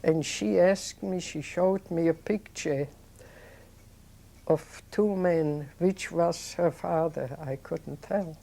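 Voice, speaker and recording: An older woman, recalling her childhood in an oral history interview, talking in a halting, reflective way with many restarts. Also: lowish voice, creaky, mellow. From Poland. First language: Yiddish. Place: indoors, seated on a sofa.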